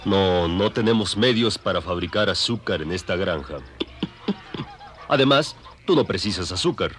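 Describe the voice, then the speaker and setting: Eloquent young boar played by a man, radio drama; lowish pitch, earnest, lecturing reformer. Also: expressive, measured pace, fairly bright tone. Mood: earnest reproof.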